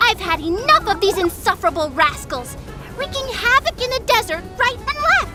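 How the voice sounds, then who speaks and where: A woman's voice: very high-pitched, lively intonation, normal speed. A woman voicing a tiny, boastful, bratty royal fairy spirit in a video game, speaking pompously and theatrically. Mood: indignant. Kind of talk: video game character acting. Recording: loud music behind.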